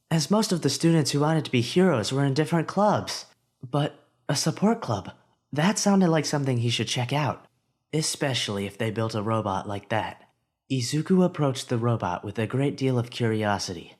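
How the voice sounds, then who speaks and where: A man narrating a fan-made audiobook of a story, reading expressively and lifting his voice for the hero's inner thoughts. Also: medium pitch.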